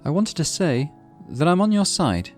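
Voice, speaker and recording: A man voicing a gentle, chatty teenage girl who speaks with pity in a visual novel. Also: on the high side, sing-song, normal speed, soft background music, pitched above the performer's own voice. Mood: soft, earnest.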